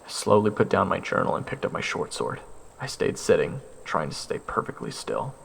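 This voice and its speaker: A man narrating a first-person fantasy story in a theatrical way, with dramatic pauses. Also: sits low, very animated, gravelly, slight background noise. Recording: loud music under the narration.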